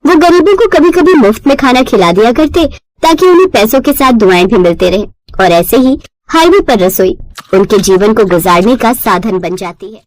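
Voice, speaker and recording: A woman narrates a story from a script. Her voice sits fairly high, and she reads as a storyteller. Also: expressive, normal speed, darkish tone, dry recording.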